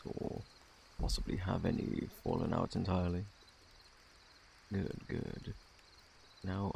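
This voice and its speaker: Man playing a gravelly, soft-spoken British plague doctor. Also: low voice, slight background noise, full character voice. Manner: formal, fussy, self-assured. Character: polite, evasive, faintly sinister male plague doctor. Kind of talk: ASMR roleplay, soft close speech.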